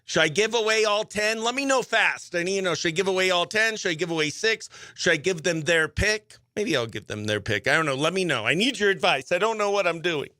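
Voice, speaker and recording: A man hosting a morning radio show, chatting excitably and playfully through banter and giveaway patter, with music faintly behind him. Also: sits high, fairly quick, few pauses, no echo, clean studio sound.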